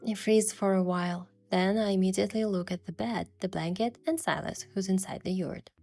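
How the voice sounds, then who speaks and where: A woman's voice: mid-range voice, steady tone, a little fast, darkish tone, natural voice. Woman voicing an earnest young romance heroine, music behind. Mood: narrating, nervous. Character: young heroine, sincere, flustered, quick to sulk in romance. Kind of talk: first-person narration and dialogue in a romance story.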